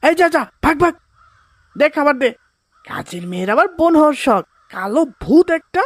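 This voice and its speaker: A woman's voice: sits high, very animated, moderate pace, no echo. A woman voicing a scornful young schoolboy, a rude older brother, in a children's cartoon. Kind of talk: children's moral cartoon voice acting.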